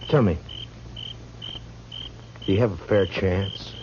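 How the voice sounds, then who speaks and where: Casting: deep-voiced, stoic lawman, measured and dryly menacing, vintage radio western with noisy background. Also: darkish tone. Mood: calm, probing. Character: stoic, world-weary frontier marshal, grimly resolute lawman. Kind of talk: 1950s radio western acting. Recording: dull, noisy old radio broadcast recording.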